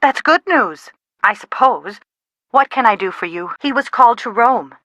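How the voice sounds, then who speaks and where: A woman playing an anxious, sharp-tongued middle-aged mother in a video game, with a dry, dramatic manner. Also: a little high, expressive, normal speed, no room sound. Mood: wry, concerned. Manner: exasperated, dramatic, dry wit. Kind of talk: video game dialogue, phone conversation acting.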